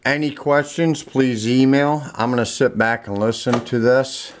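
A man giving a casual, unscripted product demonstration in a plainspoken, halting way from behind a handheld camera indoors. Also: mid-range voice, fairly even intonation. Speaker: online seller demonstrating an item. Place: indoors, room in a home. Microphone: handheld camera, speaker off screen behind it. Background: music playing from audio equipment.

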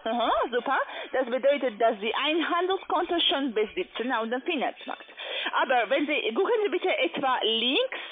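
High-voiced foreign saleswoman on a phone line. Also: expressive, normal speed. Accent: foreign, non-native German. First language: not German. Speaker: call centre sales agent. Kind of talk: telephone sales pitch. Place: phone call. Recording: tinny band-limited phone line.

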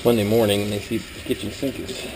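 A man with a fairly low voice talks through a job as he does it, like a trainer. His delivery is casual, plain and practical. The action-camera audio has clear noise and room ambience around him. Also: little pitch movement, fast talker. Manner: plain, practical, talking while working. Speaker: cleaning trainer. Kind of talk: casual hands-on instructional narration. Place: small workplace kitchen, indoors. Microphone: body-worn or head-mounted camera.

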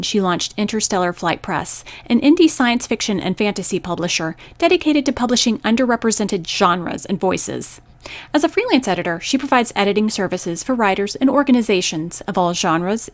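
Woman, poetry program host, scripted radio delivery, medium pitch, very dry recording. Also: expressive, moderate pace, dry recording.

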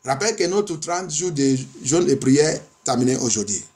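A man's voice: on the high side, big pitch swings, normal speed, darkish tone. A male pastor preaching and praying in two languages, declamatory, insistent and repetitive. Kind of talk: bilingual sermon and fervent prayer.

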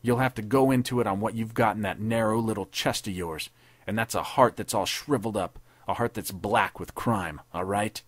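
Gruff vengeful army captain , man reading him, low voice, savage threats. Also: moderate pace, dry recording, close to an everyday voice. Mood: menacing.

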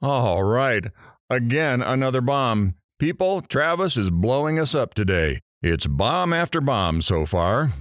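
A man's voice: medium pitch, sing-song, measured pace, fairly bright tone, no echo, clean studio sound. A man playing a brash, wisecracking morning radio host in an audio drama, with sarcastic, theatrical delivery. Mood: teasing, theatrical. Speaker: radio talk show host.

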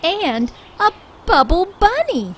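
Very slow, lively woman reading to children. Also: extremely high pitch, slight background noise. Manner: expressive, deliberate, with drawn-out emphasis. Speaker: children's story reader. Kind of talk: read-aloud storybook narration for young children. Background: background music.

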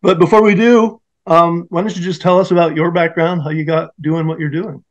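A middle-aged man hosts an interview podcast in a friendly, upbeat way, voice slightly higher than usual. He talks into a podcast mic from a home office with bookshelves. The sound is a little dull and lo-fi. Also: steady tone, a little fast. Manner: friendly, upbeat, with fillers and restarts. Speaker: podcast host. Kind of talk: casual interview hosting. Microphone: podcast mic on a video call.

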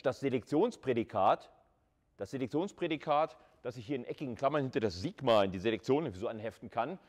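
A man lecturing at a university in an informal, conversational way, thinking aloud and putting questions to students. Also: medium pitch.

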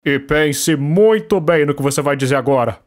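A man with a Brazilian Portuguese accent dubbing a comedy cartoon in a panicked, shouty way. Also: on the high side, animated, normal speed, very noisy. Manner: shouty, panicked comic delivery. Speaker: fan dubber. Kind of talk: fan dub of a comedy cartoon.

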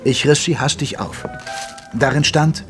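An actor playing a retired old army doctor who narrates a radio play in a measured, genteel, reminiscing way. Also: male voice, fairly high pitch, a bit bright, soft background music. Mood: reflective. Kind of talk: scripted first-person radio play narration in German.

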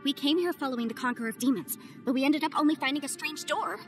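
A calm analytical adviser. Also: female voice, sits high, music in the background. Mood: thoughtful. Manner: measured, methodical, explaining. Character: young legal adviser, reasoned and analytical. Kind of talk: game cutscene voice acting.

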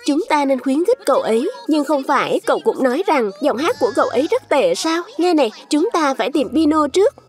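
High, lively little fruit creature. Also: female voice, a little fast, few pauses, faint music behind. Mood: worried. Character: small childlike fruit creature, earnest and outspoken. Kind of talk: children's cartoon dub.

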